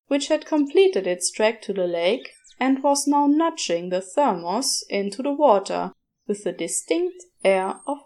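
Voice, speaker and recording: A woman reading a story aloud as narrator, in an unhurried, measured way. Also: a little high, vocal fry, darkish tone.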